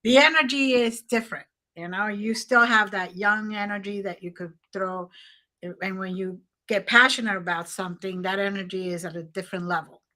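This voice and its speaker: A woman in her sixties hosting an interview, speaking in a slow, drawn-out, affectionate way. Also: medium pitch, fairly even intonation, pushed and bright. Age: 60s. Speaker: host. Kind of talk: casual interview chat.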